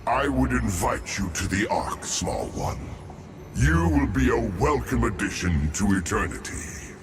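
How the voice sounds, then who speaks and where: Slow, low-voiced imperious otherworldly ruler. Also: male voice, lively intonation, background noise. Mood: coldly inviting. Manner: measured, formal, ominous. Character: ancient otherworldly male ruler, imperious, coldly patient, condescending. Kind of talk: video game cutscene acting. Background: music.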